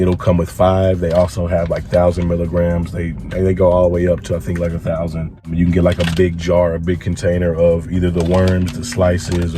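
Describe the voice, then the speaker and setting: Hoarse, congested man with a low voice. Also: mellow, slight background noise. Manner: laid-back, frequent filler words. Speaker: vlogger reviewing products. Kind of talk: casual product review vlog.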